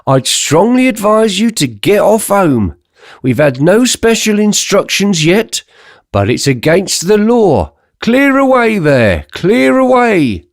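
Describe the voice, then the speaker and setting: A clipped, officious police inspector, voiced by a man narrating a story, who barks orders to move along. The voice rides high and loud above the narration. Every line is a firm command. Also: big pitch swings, slow, creaky, no room sound, clean studio sound, heavily acted. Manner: curt, commanding.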